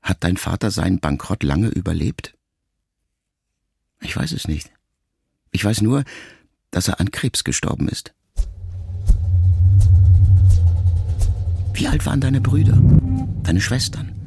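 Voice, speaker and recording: An actor playing a middle-aged writer son who addresses his mother in a measured, introspective, quietly reproachful radio monologue. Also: low voice, expressive, creaky, breathy edge, exaggerated voice. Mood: pensive, searching. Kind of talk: literary monologue in a radio play.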